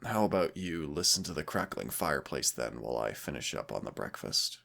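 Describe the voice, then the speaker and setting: A man's voice: rumbling low, big pitch swings, brisk, vocal fry, a bit bright, full character voice. A man playing an affectionate, cautious and playful boyfriend in an intimate audio roleplay, speaking in a tender, reassuring, conversational way.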